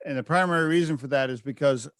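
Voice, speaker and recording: A man's voice: on the high side, quick pace, fairly bright tone, no echo, clean studio sound. A man in his fifties hosting a podcast interview, chatty and jokey, firing off several questions in a row. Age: 50s. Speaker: podcast host. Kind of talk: casual interview chat.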